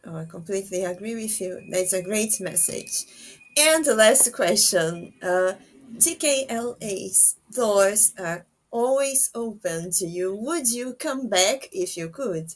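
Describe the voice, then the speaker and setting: A woman hosting a casual interview, speaking in halting phrases with pauses between them. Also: mid-range voice, expressive, low-quality recording. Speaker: podcast interviewer, host.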